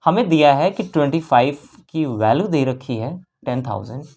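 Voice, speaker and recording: A woman's voice: a bass voice, animated, normal speed, darkish tone. A woman with an Indian accent teaching a maths lesson step by step, repeating phrases and posing questions as she explains.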